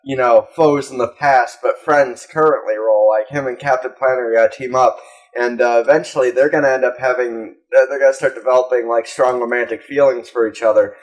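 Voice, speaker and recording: A man's voice: mid-range voice, steady tone, brisk, poor audio. Young guy rambling on a podcast, crude jokes, lots of uh and like. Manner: rambling, crude, frequent fillers and laughs. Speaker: podcast co-host. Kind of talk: casual comedic chat.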